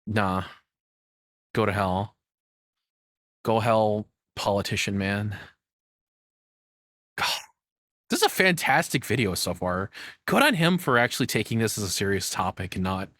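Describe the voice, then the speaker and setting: Low-voiced man, casual commentary, very dry sound. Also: unhurried, pauses often, a bit bright, dry recording. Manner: informal, freely swearing. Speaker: reaction streamer.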